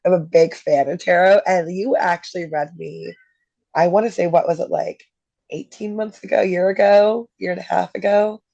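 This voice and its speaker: Hoarse, gravelly-voiced woman in her thirties. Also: fairly low pitch, fairly even intonation, moderate pace, dark tone, no echo. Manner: chatty, easygoing, laughs readily. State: hoarse, getting over an illness. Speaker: guest receiving a tarot reading. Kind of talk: casual chat. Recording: dull lo-fi dry sound.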